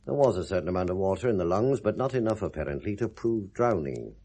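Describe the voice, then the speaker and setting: An actor playing a genial, probing middle-aged British police inspector with a husky voice, in a 1970s radio drama. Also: male voice, sits low, animated, fast, mellow. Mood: casually probing. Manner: affable, wry, casually probing.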